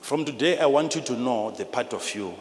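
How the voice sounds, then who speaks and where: A man with a Zimbabwean accent preaching a sermon in an emphatic, repetitive, teacherly manner, swinging between hushed and loud delivery. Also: mid-range voice, fairly quick. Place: large church hall with PA. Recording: live in a large reverberant hall, noisy.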